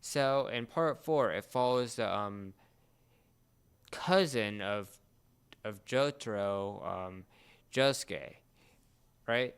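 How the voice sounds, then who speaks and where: A man co-hosting a casual talk, explaining things in a halting way with repeated and restarted phrases. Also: on the low side, clean tone. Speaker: podcast co-host explaining a series.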